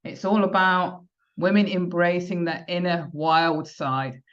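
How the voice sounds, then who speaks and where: A woman's voice: lowish voice, fairly even intonation, unhurried, highs cut off. A woman hosting a podcast, interviewing a guest, talking hesitantly with many fillers and restarts. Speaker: podcast host.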